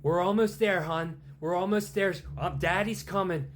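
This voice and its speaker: A man voicing a frantic father racing to rescue his daughter, shouting urgent reassurance. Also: high-pitched, moderate pace, a little hiss or hum, pitched above the performer's own voice, natural voice. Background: music.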